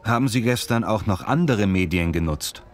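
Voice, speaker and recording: Male actor as polite survey reporter, deep register, careful scripted questioning for learner audio dialogue. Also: lively intonation, moderate pace, natural voice. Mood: polite, inquiring. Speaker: interviewer. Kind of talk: scripted language-lesson dialogue.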